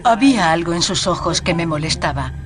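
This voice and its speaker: An actress playing an uneasy, distrustful neighbor giving dramatized testimony. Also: female voice, lowish voice, animated, fairly quick, music in the background, lightly acted. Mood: wary.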